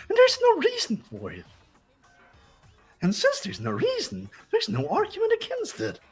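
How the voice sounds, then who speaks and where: A woman voicing an unhinged, despair-obsessed villain in a fan-dubbed video game, husky and mocking. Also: mid-range voice, exaggerated voice.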